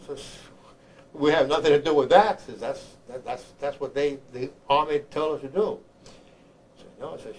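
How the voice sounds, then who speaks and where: An older man from Honduras, an army veteran, recounts his memories haltingly, repeating words as he searches for the next one. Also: on the high side, darkish tone, low-quality recording. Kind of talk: oral history recollection. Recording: clear background noise, room ambience.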